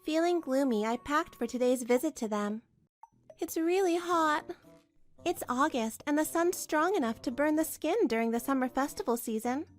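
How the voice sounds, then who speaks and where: A woman reading scripted first-person drama narration in an expressive storytelling manner. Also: high voice, normal speed. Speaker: narrator and voice actor.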